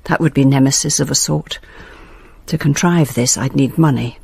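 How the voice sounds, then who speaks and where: A bitter, grieving grandmother confesses in a radio monologue. Her voice is creaky and husky, recorded close and dry, with deliberate phrasing. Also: rumbling low, warm tone, no echo, full character voice. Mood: cold resolve. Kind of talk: dramatic monologue in British radio drama.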